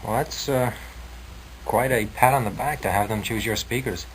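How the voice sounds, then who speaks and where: A male radio host puts questions in a polite, slightly formal, conversational way. His pitch is average, and the 1960s recording has a light layer of noise. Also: normal speed, low-quality recording.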